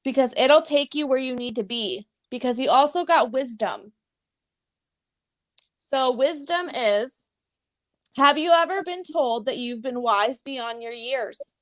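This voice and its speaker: A woman from Pennsylvania giving a calm, instructive card reading as a co-host. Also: high voice, a little slow. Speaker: psychic reader. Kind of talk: live spiritual reading.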